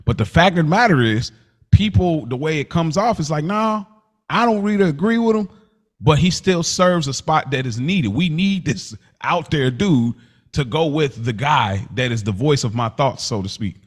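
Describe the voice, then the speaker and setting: A man's voice: a little high, expressive, normal speed. An American man co-hosting a podcast in casual chat, with a loose, colloquial way of talking. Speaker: podcast co-host.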